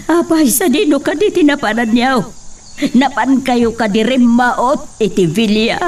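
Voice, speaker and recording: A woman's voice: fairly high pitch, unhurried, a little mellow, far from the mic, close to an everyday voice. A woman playing a fretful, scolding mother in an Ilocano drama, with a Filipino Ilocano accent. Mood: fretful, questioning. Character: fretful, scolding mother fearing for her children. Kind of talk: Ilocano radio-style drama acting.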